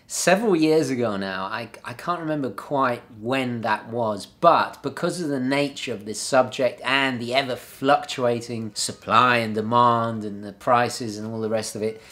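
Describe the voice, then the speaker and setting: A man's voice: mid-range voice, normal speed, hardly any pauses, a bit bright. Young male host, casual and chatty, filmed at a desk in a home office. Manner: chatty and enthusiastic, frequent fillers and restarts. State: slightly hoarse, clearing throat. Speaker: watch channel host. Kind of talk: casual video review. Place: home office room with a map on the wall.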